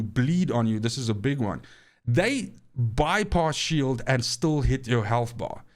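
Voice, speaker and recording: South african accented guy doing a gaming vlog, smooth clear voice, kinda rambly, repeats himself a lot. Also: mid-range voice. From Namibia. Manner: rambling, repeats words when restarting; grateful, earnest. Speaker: gaming channel host. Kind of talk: casual talk to camera.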